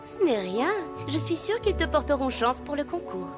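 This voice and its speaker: High-voiced kind young girl. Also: sing-song, moderate pace, music in the background. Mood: kind, eager. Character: kind young girl, generous friend making amends. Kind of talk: cartoon voice acting.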